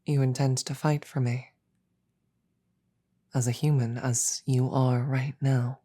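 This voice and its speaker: A woman voicing a regal, calm demon queen in a roleplay audio drama, speaking in a slow, deliberate, breathy murmur with measured pauses and quiet menace. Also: rumbling low, full character voice. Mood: pensive, probing.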